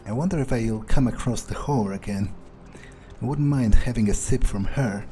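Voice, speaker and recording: A man role-playing a jaded, domineering, foul-mouthed male vampire in a video game, thinking aloud in a contemptuous, deliberate way. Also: mid-range voice, dark tone, close to an everyday voice. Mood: weary, dry. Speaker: gamer role-playing in character. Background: faint game music.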